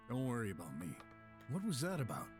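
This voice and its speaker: A man as an earnest, animated young detective. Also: mid-range voice, fast talker. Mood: concerned, earnest. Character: earnest, stubborn, kind-hearted young male detective. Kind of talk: video game voice acting. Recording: game music behind the voice.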